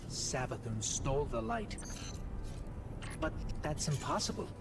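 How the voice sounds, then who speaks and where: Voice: mid-range voice, big pitch swings, a little slow, very noisy. An actor playing an earnest soldier reporting back from a space mission in a video game, urgent and explanatory. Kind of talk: cinematic video game acting.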